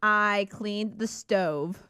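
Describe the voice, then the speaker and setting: A woman's voice: medium pitch, drawn-out words, pushed and bright, clean tone. A young woman, an intern, chatting playfully on a radio show and laughing often. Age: young adult. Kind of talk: casual chat. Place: radio studio.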